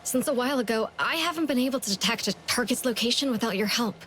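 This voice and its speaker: An actress playing a wry, world-weary female hunter in a game, composed and deliberate in her speech. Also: a little high, a bit bright, slight background noise, close to an everyday voice. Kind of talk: game character dialogue.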